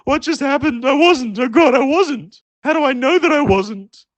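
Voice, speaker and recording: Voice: high-pitched, expressive, normal speed, no echo, voice pushed up. A storyteller voicing a frantic, foul-mouthed male chicken who shrieks and swears in panic.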